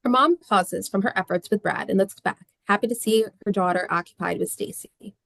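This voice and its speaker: A woman narrates stage directions from a script. Her voice sits at a medium pitch, recorded dry with little room sound. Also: brisk, gravelly, a bit bright, dry recording. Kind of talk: scripted narration at a table read.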